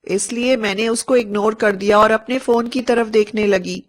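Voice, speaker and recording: A woman voicing an uneasy, sharp-tongued young female college student who speaks earnestly and emphatically in an animated story. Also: a little high, moderate pace, lightly acted.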